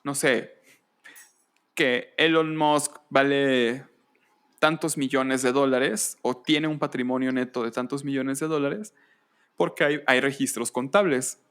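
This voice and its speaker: A man with a mid-pitched voice hosts a history podcast. He speaks very slowly and deliberately, pausing as he explains. He often poses a rhetorical question in a conversational style. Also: fairly even intonation, a bit bright, clear, resonant tone. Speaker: podcast host.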